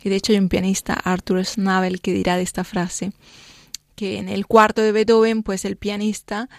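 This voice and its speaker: Spanish pianist with a faint Italian lilt. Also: female voice, mid-range voice, level tone, normal speed, dry recording, clean studio sound. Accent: slight Italian lilt on Spanish. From Spain. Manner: thoughtful, explaining with many fillers and "¿no?". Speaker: guest pianist. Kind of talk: radio interview chat. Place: radio studio.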